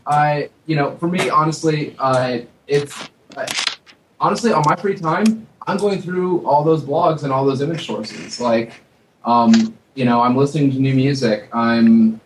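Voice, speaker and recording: A young man in his twenties talks loosely and self-deprecatingly, stumbling through fillers as a guest on a chat. His voice sits at a middling pitch. It comes from some distance in a roomy space with a faint hiss behind. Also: measured pace. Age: 20s. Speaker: studio artist guest. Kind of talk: casual panel chat.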